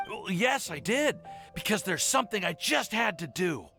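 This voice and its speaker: A man's voice: high voice, very animated, normal speed, creaky, sharp tone, faint music behind, full character voice. An actor playing a timid, lovestruck young man in an anime game, stammering and nervously apologetic. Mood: flustered, defensive.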